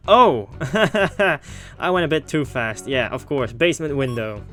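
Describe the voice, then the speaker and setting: A man's voice: mid-range voice, a little slow, pushed and bright, faint music behind. A man hosting a gaming video, playfully thinking aloud and exclaiming as he comments casually on the playthrough.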